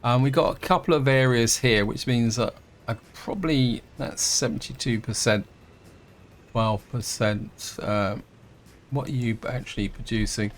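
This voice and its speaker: A man, croaky from a throat infection, casually thinking aloud as he plays through a strategy game, with lots of fillers and restarts. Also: medium pitch, a little slow. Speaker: gameplay host. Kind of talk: casual let's-play commentary.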